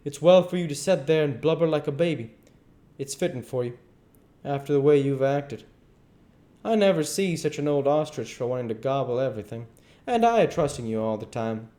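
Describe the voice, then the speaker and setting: A man's voice: medium pitch, animated, brisk, dark tone, voice pushed up, natural voice. A man voicing a domineering, scornful swindler who scolds in folksy rural speech, in a dramatised audiobook. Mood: bitter scorn.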